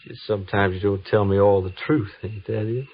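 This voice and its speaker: An actor playing a tender-hearted young frontier trooper and anxious husband in an old radio drama, with folksy rural American speech. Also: male voice, on the low side, fairly even intonation, a little fast, husky. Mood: concerned. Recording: dull, noisy old radio recording.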